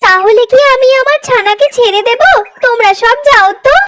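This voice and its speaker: A tiny mother songbird from a children's cartoon , acted out by a woman, with an extremely high voice. She is protective of her chick and easily wounded. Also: normal speed, very noisy. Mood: hurt, defiant.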